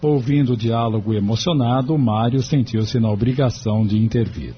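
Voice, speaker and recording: A man's voice: mid-range voice, normal speed. A Brazilian man narrating an audio drama in a scripted, dramatic style. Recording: muffled lo-fi audio, clear background noise.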